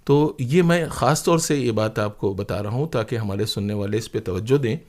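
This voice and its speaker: A man with an Indian accent talking as an interview guest, telling stories in an animated, emphatic, conversational way. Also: medium pitch. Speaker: interview guest, broadcaster and playwright.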